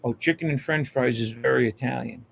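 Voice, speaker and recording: A man's voice: mid-range voice, fairly quick, gravelly, a bit bright, a little hiss or hum, poor audio. An American man in casual chat on a live hangout, with a slangy, profane way of talking.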